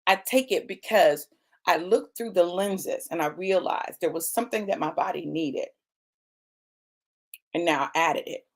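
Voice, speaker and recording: A woman's voice: fairly low pitch, a little slow. Emphatic woman preacher in her fifties. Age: 50s. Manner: emphatic, repeats phrases, calls listeners y'all and baby. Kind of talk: motivational sermon.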